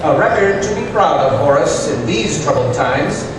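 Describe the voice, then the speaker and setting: A man playing a shrewd, composed, dryly witty detective in a theatrical old-time radio drama, speaking deliberately. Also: on the high side, lively intonation, lightly acted. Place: live stage show in a large hall. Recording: echoey hall, far from mic, loud noise.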